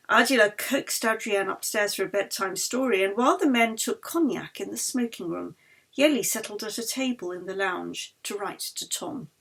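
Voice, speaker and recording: A woman author reading her novel aloud as literary narration, measured and expressive. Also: medium pitch.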